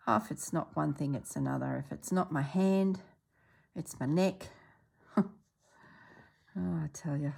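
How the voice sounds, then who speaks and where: Woman, from Australia, low voice, unhurried, slightly congested, casual stitching chat. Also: creaky, husky. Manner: chatty, relaxed, drops into soft murmurs while working. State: congested, clearing throat. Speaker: stitching vlogger.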